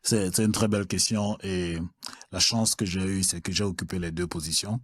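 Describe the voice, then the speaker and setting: A man from Cameroon, answering interview questions as a guest in a measured way with frequent filler sounds. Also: sits low, fairly even intonation, husky. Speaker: guest, mining executive.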